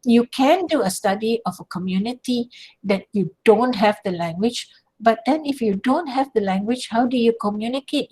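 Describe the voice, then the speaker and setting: A woman's voice: medium pitch, poor audio. An older woman from Malaysia giving an online lecture, answering questions with examples. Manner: explanatory, uses examples and gestures. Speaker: guest lecturer. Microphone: video call.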